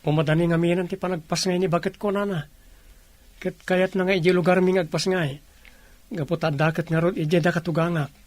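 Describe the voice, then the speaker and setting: A man's voice: high-pitched, steady tone, fairly quick, no echo, lightly acted. A man acting a village working husband in an Ilocano radio drama, with an Ilocano Filipino accent. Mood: polite. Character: village working husband, defensive yet familiar.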